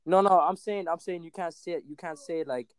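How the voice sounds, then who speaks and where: A man's voice: fairly high pitch, a little fast, smooth, dry recording. A man in a heated casual discussion, insistent, repeating himself to keep the floor. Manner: insistent, interrupts to hold the floor.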